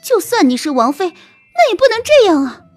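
An actress playing a haughty, whiny, scheming young royal concubine in an audio drama, with an indignant, plaintive manner. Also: female voice, extremely high pitch, sing-song, a little fast, darkish tone, faint music behind. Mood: indignant, whining.

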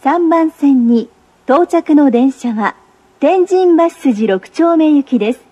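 A woman's voice: high-pitched, expressive, slow, mellow. A woman making formal, carefully enunciated recorded train platform announcements as a station announcer.

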